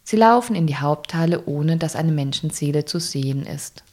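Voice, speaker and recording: A woman's voice: low voice, lively intonation, normal speed, darkish tone. A woman narrating an audio drama from a script, describing scenes in the present tense.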